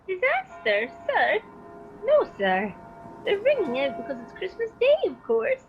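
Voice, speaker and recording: A woman voices a deferential young lad, very high and lively in pitch. He sounds polite and puzzled, in a homemade radio play with dull, muffled sound. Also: measured pace, soft background music, exaggerated voice. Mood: puzzled, cheerful. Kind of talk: amateur radio play acting. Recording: muffled lo-fi call audio with noise.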